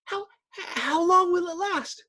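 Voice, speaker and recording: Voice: very high-pitched, a little slow, pushed and bright, voice pushed up, exaggerated voice. A performer voicing an anxious, tender young woman who speaks in a breathless, pleading, melodramatic way in a comic-book drama. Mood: frightened.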